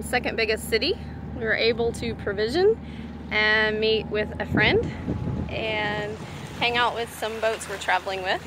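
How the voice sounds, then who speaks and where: A woman's voice: on the high side, noisy background. A woman in her thirties, chatty and upbeat, vlogging from a sailboat with the camera held at arm's length. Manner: upbeat, chatty, pointing things out. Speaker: sailing vlogger. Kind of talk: casual vlog narration. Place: outdoors on a sailboat at sea.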